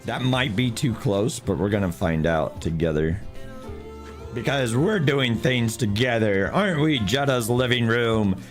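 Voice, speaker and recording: A man streaming a driving game with a hoarse, unusually deep voice from losing it, talking quietly and chatting with viewers. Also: animated, measured pace, faint music behind. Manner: talks quietly to spare his voice; chats to viewers, chuckles at himself. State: hoarse, lost voice. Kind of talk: casual livestream commentary while playing.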